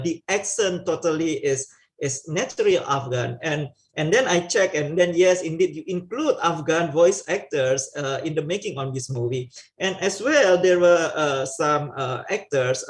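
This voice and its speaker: A man, interviewing and summarizing for the audience, talking hesitantly with many fillers. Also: mid-range voice. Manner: hesitant, many fillers, long winding questions.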